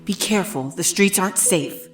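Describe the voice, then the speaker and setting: A woman's voice: mid-range voice, moderate pace, loud music behind the voice, close to an everyday voice. A woman playing a determined young woman spy in an audio story, speaking with earnest urgency. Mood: earnest, concerned.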